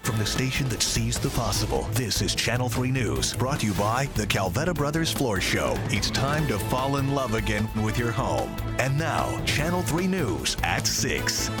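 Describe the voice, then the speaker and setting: Station ident voice, man, medium pitch, lively swings, scripted, over loud music. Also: moderate pace, few pauses. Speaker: station announcer.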